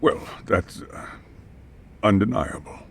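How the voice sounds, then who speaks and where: A man's voice: fairly low pitch, animated, slow pace, creaky, a little hiss or hum, full character voice. An actor playing a formal, guarded school chairman in a video game dub, hesitant and evasive. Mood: flustered. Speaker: head of a school.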